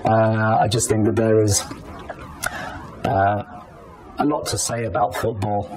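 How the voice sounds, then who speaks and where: A British man answering interview questions in a measured, halting way, repeating words, his voice pitch-altered to disguise it. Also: little pitch movement, darkish tone, low-quality recording. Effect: pitch-shifted disguised voice. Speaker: anonymous professional footballer being interviewed.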